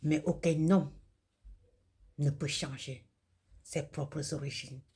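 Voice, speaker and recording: Slow, husky Cameroonian woman preaching. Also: deep voice, steady tone, warm tone. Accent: Cameroonian French. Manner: solemn, declamatory, short halting phrases. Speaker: video host and self-described messenger of God. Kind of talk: religious monologue to camera.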